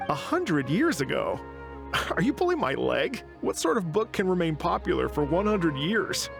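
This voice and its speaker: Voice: medium pitch, big pitch swings, normal speed. An actor playing a flustered, obliging bookstore keeper in an anime video game, speaking hesitantly and casually. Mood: puzzled. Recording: game audio over clear background music.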